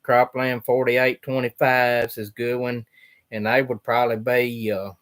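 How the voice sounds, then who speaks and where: A man, a farmer, talking in an easy drawl at a middling pitch. He speaks plainly, restarts his sentences and lets them trail off as he answers viewers' questions on a livestream. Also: level tone, a little slow, fairly bright tone. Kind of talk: casual chat reading viewer questions.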